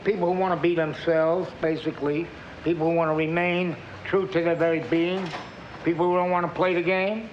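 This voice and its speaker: A man's voice: a little high, level tone, a little fast. A man, a poet, speaking in a performative, incantatory way with repeated phrases, as in a recital. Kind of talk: poetry recital and recollection. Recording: very echoey hall, dull, faint noise.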